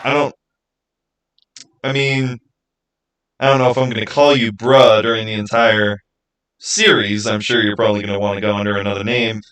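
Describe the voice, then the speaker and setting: A man's voice: lowish voice, level tone, moderate pace, pauses often, a bit bright, poor audio. A man hosting a livestream, chatting informally with viewers and thinking aloud as he goes. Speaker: livestream host. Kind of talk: casual livestream chat with viewers.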